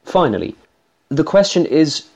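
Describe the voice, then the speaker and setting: A man with an Irish accent giving scripted, measured analytical commentary as a voice analyst. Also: a little high, big pitch swings, a little mellow, breathy edge.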